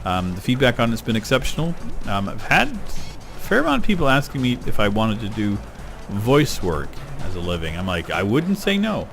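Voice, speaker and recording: A middle-aged man rambling casually while playing a video game, getting excited over loot. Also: lowish voice, fairly quick, vocal fry. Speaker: gaming YouTuber. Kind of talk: casual let's-play commentary. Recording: game music behind voice. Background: game music.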